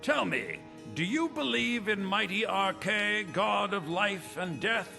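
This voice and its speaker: Game priest: anxious, earnest, theatrical, fairly high voice with swooping intonation. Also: male voice, animated, slow, creaky, exaggerated voice. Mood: solemn. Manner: solemn, earnest, theatrical delivery. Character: anxious male priest of a death god, pleading for help. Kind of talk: fantasy video game dialogue. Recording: loud music behind the voice.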